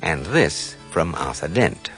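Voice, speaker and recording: A man's voice: a bass voice, slow pace, vocal fry, music in the background, full character voice. A man playing a dry, erudite guidebook narrator in a radio comedy, with an English accent. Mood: deadpan. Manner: deadpan, erudite.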